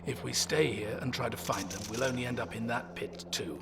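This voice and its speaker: Male actor as elderly ex-serviceman, care home resident, practical and urgent, audio drama, rumbly noisy recording. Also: fairly quick, creaky, distant mic, lightly acted. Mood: alarmed, firm.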